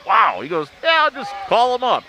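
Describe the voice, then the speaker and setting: A man's voice: very high voice, huge pitch swings, moderate pace, pushed and bright. A male radio sports broadcaster chatting casually, telling stories in an emphatic, folksy way, with venue noise behind him.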